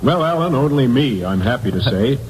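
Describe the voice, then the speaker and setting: A man's voice: mid-range voice, expressive, brisk, darkish tone, a little hiss or hum. An American man refereeing on a 1950s radio quiz, speaking from a reverberant hall on an old broadcast. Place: university hall, remote broadcast.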